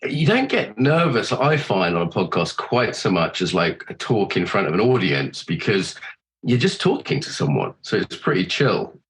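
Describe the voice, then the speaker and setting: A middle-aged man talks in a loose, chatty way, swearing freely as he thinks out loud. He has a cold, and his voice sits a little low. He speaks as a podcast host who is being interviewed on his own show. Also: big pitch swings, normal speed, rough recording. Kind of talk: casual chat.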